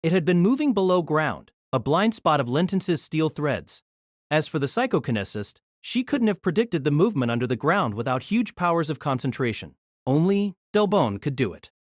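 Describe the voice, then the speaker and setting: A man's voice: fairly high pitch, big pitch swings, normal speed, no echo, clean studio sound. A man narrating a fantasy novel as a dramatic, scripted audio drama.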